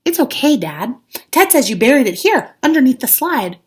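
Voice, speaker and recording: A woman's voice: high voice, very animated, normal speed, slightly rough, pitched above the performer's own voice, full character voice. A woman voicing an earnest, pleading young boy in a children's storybook read aloud. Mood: reassuring. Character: earnest young boy who loves his imaginary friend.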